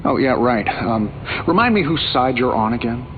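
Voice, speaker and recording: An actor playing a flippant, wisecracking armored hero in a video game, offhand and sarcastic. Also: male voice, mid-range voice, big pitch swings, normal speed, lightly acted. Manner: offhand, sarcastic, hesitant asides. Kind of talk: casual game character dialogue. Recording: muffled, thin game capture, faint noise.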